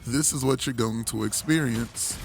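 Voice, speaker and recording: A man with a hoarse voice, recovering from illness, giving a casual, rambling game review full of restarts and laid-back slang. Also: mid-range voice, moderate pace, slight background noise.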